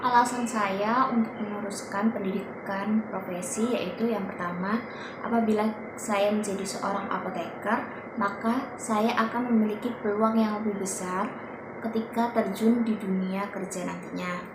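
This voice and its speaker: A woman's voice: medium pitch, fairly even intonation, moderate pace, roomy sound, background noise. A woman pharmacy student giving a prepared answer, counting off her points in order.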